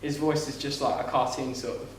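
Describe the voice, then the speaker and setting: A young man with a light voice, higher than most men's, chatting on a podcast in a rambling, halting way. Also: little pitch movement, a little hiss or hum. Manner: rambling, halting, with frequent restarts. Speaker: podcast co-host, actor. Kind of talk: casual chat. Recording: echoey room, mic a bit far off.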